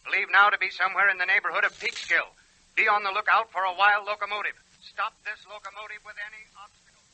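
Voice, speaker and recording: An actor playing an urgent emergency dispatcher with clipped delivery through a radio filter, in 1940s radio style. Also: male voice, sits high, little pitch movement, fast, pushed and bright, poor audio. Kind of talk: 1940s radio bulletin acting.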